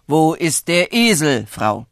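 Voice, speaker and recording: A narrator acting out a loud, blustering farmer with swooping pitch. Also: big pitch swings, slow pace, gravelly, no echo, clean studio sound, exaggerated voice. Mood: indignant. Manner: loud, exclamatory, scolding. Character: blustering, gullible, hot-tempered farmer, a married man.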